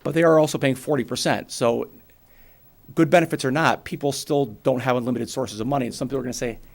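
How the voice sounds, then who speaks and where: A man in his forties with a New England accent, giving a brisk, plainspoken financial presentation to a town board and explaining with everyday examples. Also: mid-range voice, fairly even intonation, vocal fry. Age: 40s. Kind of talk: financial presentation at a public meeting.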